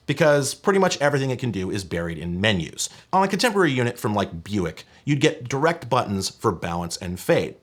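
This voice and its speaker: Animated, wry male tech reviewer. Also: mid-range voice. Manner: wry, emphatic, conversational. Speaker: video presenter reviewing retro tech. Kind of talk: scripted tech review narration with casual asides.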